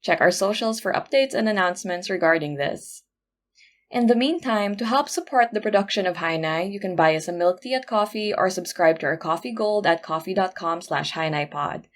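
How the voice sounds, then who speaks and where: A woman's voice: fairly low pitch, expressive, fairly quick. Woman reading podcast credits. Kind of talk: scripted podcast outro announcement.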